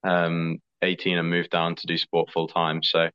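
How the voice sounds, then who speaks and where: A young man, an athlete answering interview questions in a relaxed, chatty way. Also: deep voice, measured pace, clean tone. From England. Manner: relaxed, chatty, fillers like "like" and "sort of". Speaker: skeleton athlete. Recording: slightly dull lo-fi audio.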